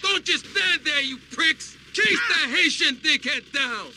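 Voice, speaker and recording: A bellowing, imperious little drug lord with a Latin American accent and a violent temper. His high, piercingly bright voice leaps about over faint game ambience. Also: animated, measured pace, a little hiss or hum, heavily acted. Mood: furious. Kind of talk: video game cutscene acting.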